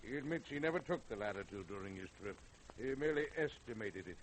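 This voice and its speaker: A man's voice: fairly low pitch, animated, quick pace, lightly acted. A man acting in an old radio drama as an argumentative, emphatic official, declaiming his lines. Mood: skeptical, explaining. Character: indignant, argumentative male congressman and brisk polar aviator. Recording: noisy old radio recording.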